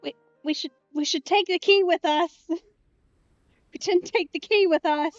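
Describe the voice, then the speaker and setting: A woman's voice: extremely high pitch, a little slow, no room sound, muffled recording, soft background music. A woman giving casual, wry commentary while playing a video game, musing aloud to herself. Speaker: let's play streamer.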